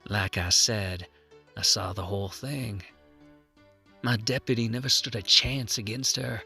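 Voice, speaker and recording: A man's voice: fairly low pitch, steady tone, measured pace, creaky, sharp tone, husky, faint music behind, exaggerated voice. A man playing a grim, dogged sheriff in an Old West audio drama, folksy and stern. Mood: grim resolve.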